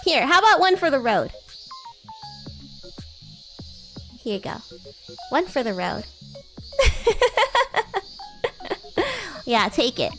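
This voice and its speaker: Woman acting a high-pitched bratty demon girl. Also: sing-song, fairly bright tone, soft background music, exaggerated voice. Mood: playful. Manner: teasing, haughty, halting and flustered. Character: bratty flustered teenage demon girl class president, secretly fond. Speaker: VTuber streamer. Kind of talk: livestream roleplay, anime-like character acting.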